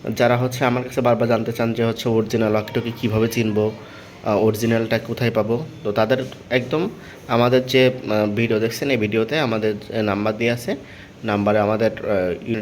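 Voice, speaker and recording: Male seller, Bangladeshi accent, mid-pitched, informal explanatory demo delivery, some background noise. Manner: explanatory, repetitive, often checking listeners follow. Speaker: seller presenting a product. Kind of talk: informal product demonstration and sales pitch.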